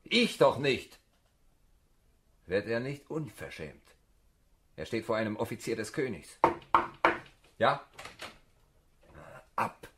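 An actor playing a brusque, condescending aristocratic officer in a German radio drama, with a husky, commanding voice. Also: male voice, mid-range voice, sing-song, slow pace, long pauses, very noisy. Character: brusque aristocratic army officer recruiting soldiers.

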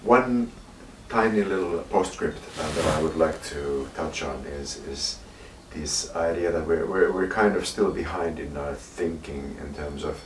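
Male interviewer, very low voice, thoughtful, off-mic with room echo and faint noise. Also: a little slow. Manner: thoughtful, hesitant phrasing. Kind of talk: asking questions. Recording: roomy, distant off-camera mic.

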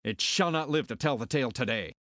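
An actor playing a cocky, skeptical smuggler pilot, declaiming theatrically in a Shakespearean dramatic reading. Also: male voice, medium pitch, expressive, a little fast, a bit bright, dry recording, clean studio sound, lightly acted. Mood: wary, bold.

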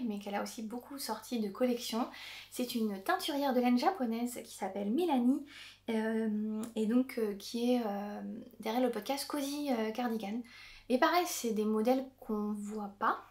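Chatty woman in an echoey craft room. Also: a little high. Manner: chatty, many filler "euh" pauses, laughs often. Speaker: knitting podcaster. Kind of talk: casual vlog chat. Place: indoor craft room with yarn shelves. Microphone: camera on a desk, framed at chest.

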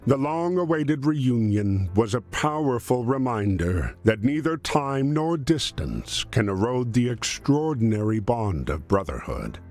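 A man narrating a scripted dramatic story in a measured, solemn way. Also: medium pitch, darkish tone. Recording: music under the voice. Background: background music.

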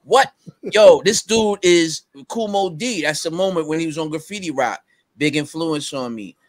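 A middle-aged man with a fairly high-registered voice, talking animatedly on a video call as a guest, full of fillers and quick bursts. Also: dry recording. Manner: animated, recites rap lyrics with bursts of energy, many fillers. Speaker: rapper guest. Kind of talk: casual video-call interview. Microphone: headset on a video call.

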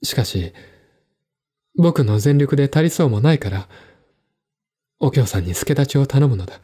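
A man acts out a melancholy gentleman in a radio drama. His voice is mid-pitched, dark and rather rough-edged. He confides earnestly, pleading like someone troubled. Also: animated, moderate pace.

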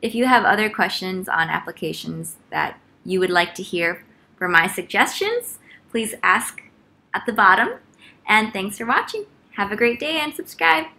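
A young woman with a bright, forward voice at an average pitch talks to camera in a living room. She explains things in a chatty, informal tutorial style, picked up by a laptop microphone at about arm's length. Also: expressive, moderate pace, gravelly. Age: young adult. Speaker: vlogger and teacher. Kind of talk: casual tutorial talk to camera. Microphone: laptop mic at about arm's length.

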